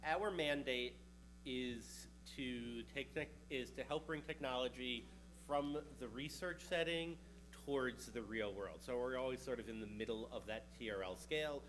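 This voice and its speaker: A man's voice: medium pitch, measured pace, a bit bright, a little hiss or hum. New York man giving a casual conference talk. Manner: casual and chatty, with a joking aside here and there. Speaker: researcher presenting at a conference.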